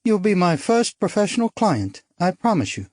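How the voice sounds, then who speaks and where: A daring young actress with an arch, theatrical wit, as a male audiobook narrator puts her on. The voice sits high and light, teasing and quick with a line. It is recorded dry and close, with no room sound. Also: sing-song, a little mellow, no echo. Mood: arch, playful. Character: witty, daring young upper-class Englishwoman, an actress.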